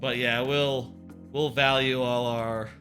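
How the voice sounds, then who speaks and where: A man's voice: medium pitch, little pitch movement, slow, pushed and bright. A man from the United States giving casual, sweary video game commentary as a streamer, often repeating himself. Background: game music.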